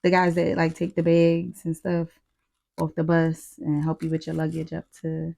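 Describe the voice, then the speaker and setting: An American woman co-hosting a podcast, telling stories casually in slangy, profane, animated talk with quoted asides. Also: sits low, moderate pace, creaky. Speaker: podcast co-host. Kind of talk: casual chat, storytelling.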